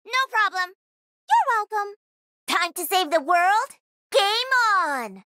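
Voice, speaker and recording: A woman voicing a cocky, playful young female pro gamer and mech pilot in a video game, with a sassy, confident, energetic delivery. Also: extremely high pitch, takes it slowly, no echo, clean studio sound, full character voice. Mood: brisk, ready. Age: young adult.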